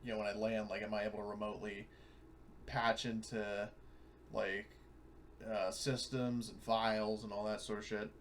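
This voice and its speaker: A man with a fairly low voice talks as a player at a tabletop game. He rambles casually and loosely, with some faint noise around him. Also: flat delivery, moderate pace. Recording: noisy room ambience on recording.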